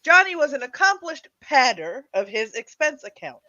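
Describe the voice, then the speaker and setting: Animated woman host with a dull, lo-fi sound. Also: sits high, normal speed, dry recording. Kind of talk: introducing old radio shows and joking along.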